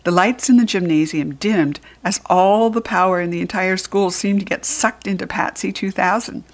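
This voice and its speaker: An American woman reading a children's book aloud as a storyteller, expressive and with deliberate emphasis for young listeners. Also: mid-range voice. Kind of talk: animated children's audiobook narration.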